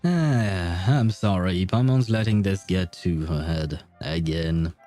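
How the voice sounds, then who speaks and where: Mellow-voiced young male game streamer. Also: low-pitched, slow pace, soft background music. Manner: chatty, laughing, swearing. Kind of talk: casual gameplay commentary.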